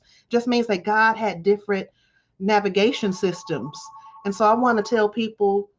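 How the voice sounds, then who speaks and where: A woman's voice: a little high, highs cut off. A woman in her forties, a college dean from Texas, giving reflective testimony in an interview with emphatic, preachy phrasing. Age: 40s.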